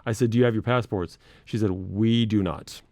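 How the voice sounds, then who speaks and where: A man's voice: lowish voice, fairly even intonation, moderate pace, a little mellow, no room sound. Chatty male radio host, dry studio. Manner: chatty, laughs easily, storytelling. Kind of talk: casual radio chat.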